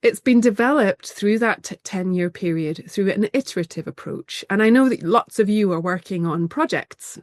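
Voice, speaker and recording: A woman's voice: lowish voice, a little mellow, no echo, studio quality. A woman with a slight cold and a nasal voice, giving an enthusiastic slide presentation as a museum director over a video call.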